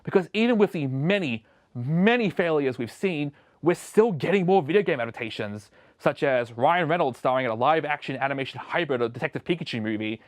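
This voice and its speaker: A man's voice: fairly high pitch, expressive, a little fast, dry recording. A man presenting a scripted opinion video essay as a show host, recorded as a close voice-over.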